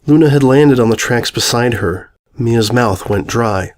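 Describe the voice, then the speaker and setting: A man reading a story as narrator, expressive and lyrical, lingering on repeated phrases. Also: medium pitch, unhurried.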